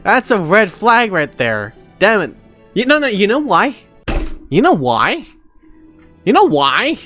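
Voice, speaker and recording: A man doing casual live gaming commentary, expressive and repetitive, with swearing. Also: high voice, takes it slowly, soft background music. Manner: expressive, repeats phrases, swears. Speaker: gaming reaction streamer.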